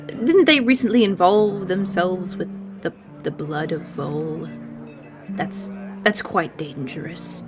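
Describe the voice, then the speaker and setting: A woman's voice: lowish voice, takes it slowly. A woman playing a kindly, bookish male scholar in a tabletop game, speaking thoughtfully and a little hesitantly. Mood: thoughtful, concerned. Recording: voice over prominent background music.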